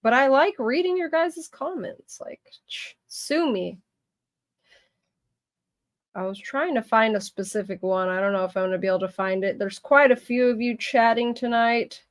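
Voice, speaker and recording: A woman's voice: fairly high pitch, moderate pace, dry recording, clean studio sound. A woman co-hosting a livestreamed podcast, chatting casually and earnestly arguing her point at length. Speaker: podcast co-host.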